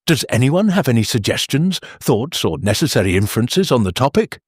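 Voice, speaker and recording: An actor playing an earnest, formal church meeting chairman who speaks in a measured, bureaucratic deadpan. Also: medium pitch, husky, no echo, studio quality. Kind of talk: audio drama acting.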